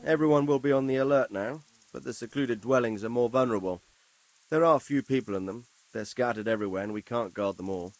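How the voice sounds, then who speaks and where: A man playing an authoritative, grave nobleman in a video game, speaking formally and articulately with a measured, commanding manner. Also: mid-range voice, dry recording, close to an everyday voice. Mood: measured, explanatory. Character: authoritative nobleman lord, measured, grave, stern about justice. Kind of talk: video game cutscene acting.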